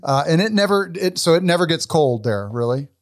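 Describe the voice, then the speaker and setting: A man's voice: fairly high pitch, expressive, a little fast. A man who hosts a podcast, reading a scripted intro and then chatting with a guest in a friendly, upbeat way. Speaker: podcast host. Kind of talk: conversational podcast interview.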